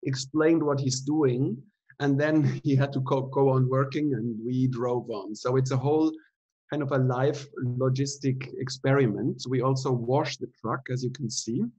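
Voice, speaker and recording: A man's voice: medium pitch, normal speed, slightly rough, poor audio. Middle-aged guy on a webcam call, chatty and loose, lots of ums while he explains. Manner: relaxed, explaining with many fillers. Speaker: theatre director giving an artist talk. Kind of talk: casual online talk. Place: indoor room at home. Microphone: laptop webcam video call.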